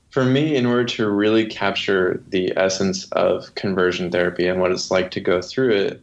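Deep-voiced man, muffled recording. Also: vocal fry. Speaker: author, podcast guest. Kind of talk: memoir reading and literary interview talk. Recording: muffled, dull lo-fi sound.

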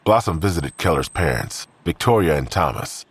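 A man's voice: extremely low pitch, big pitch swings, moderate pace, no echo. A man reading scripted narration as the narrator of an audio drama. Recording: clearly noisy background ambience.

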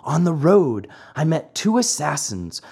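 A narrator voicing a whiny, stubborn little wooden boy marionette in a high, squeaky, childlike storybook voice. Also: sing-song, unhurried, vocal fry, mellow, slightly rough, heavily acted. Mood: excited, breathless telling. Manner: whining, childlike, pleading.

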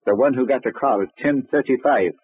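Actor as a rambling, husky Irish cop. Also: male voice, mid-range voice, brisk, lightly acted. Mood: earnest, muddled. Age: middle-aged. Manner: rambling, stammering, chuckling. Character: talkative, rambling old Irish policeman, eager and deferential. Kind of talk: 1940s radio detective drama acting. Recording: dry, tinny old radio recording.

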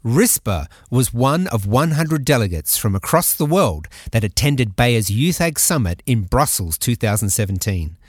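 A man hosting an agriculture podcast, reading scripted narration in a measured way. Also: mid-range voice, very animated, husky, no echo, studio quality. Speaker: podcast host. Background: background music.

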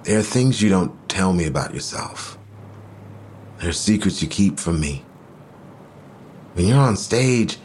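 An actor playing an earnest, vulnerable boyfriend in an audio drama, speaking in a halting, searching way. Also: male voice, low voice, slight background noise. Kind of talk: naturalistic audio drama dialogue.

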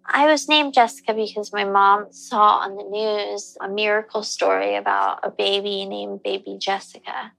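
Heavily creaky hesitant woman, thirty. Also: mid-range voice, fairly even intonation, bright, forceful tone, no echo, soft background music, low-quality recording. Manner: hesitant, halting. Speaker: interviewee.

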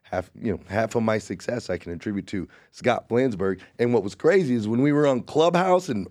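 A man, a podcast guest, casually explaining an idea with animated storytelling. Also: lowish voice, fairly quick, dry recording. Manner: animated storytelling, says "dude" and "right?" a lot.

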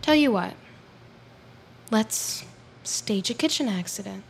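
An actress playing a sly, callous, manipulative killer in an audio drama, with a cool sardonic, coaxing manner. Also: female voice, mid-range voice, lively intonation, slow pace, gravelly, slight background noise. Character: sly, callous, manipulative woman who kills.

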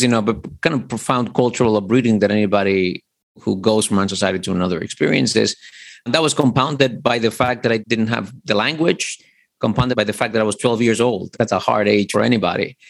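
Low gravelly-voiced man telling his story. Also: steady tone, fairly quick, dry recording. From Dominican Republic. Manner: thoughtful, reflective storytelling with verbal fillers. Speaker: guest, college lecturer. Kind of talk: podcast interview.

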